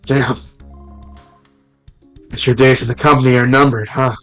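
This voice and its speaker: A loyal, eager young man from a fan-made game, read by a man in a mid-pitched voice. His lines run casual and blunt with a bit of swearing, and faint music plays underneath. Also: flat delivery, normal speed, fairly bright tone, natural voice. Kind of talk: reading a visual novel aloud on stream.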